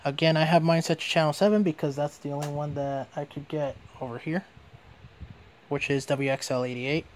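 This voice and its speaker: A man's voice: a little high, steady tone, fairly quick, noisy background. A man giving a casual hands-on product demonstration as a reviewer.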